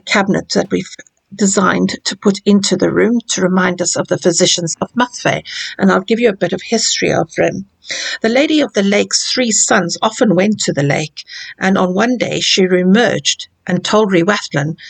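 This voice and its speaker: A woman guiding viewers through a hotel room and then telling an old local legend, gently, with small restarts. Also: mid-range voice, gravelly. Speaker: hotel tour guide. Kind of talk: guided room tour and legend narration.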